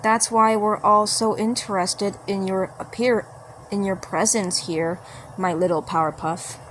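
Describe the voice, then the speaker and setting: A woman voicing a kindly, gentle mushroom person who talks in a deliberate, halting way in a fan-made cartoon story. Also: medium pitch, steady tone, slight background noise, close to an everyday voice, low-quality recording. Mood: fond. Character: kindly, unhurried mushroom person greeting a young guest.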